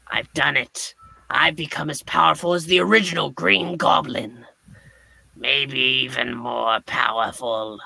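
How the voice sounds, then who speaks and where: A man's voice: high-pitched, creaky, sharp tone, no room sound, exaggerated voice. A man voicing a grandiose, gloating, power-mad goblin supervillain from a comic book in a live dramatic reading. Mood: triumphant, power-mad. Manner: grandiose villainous monologuing.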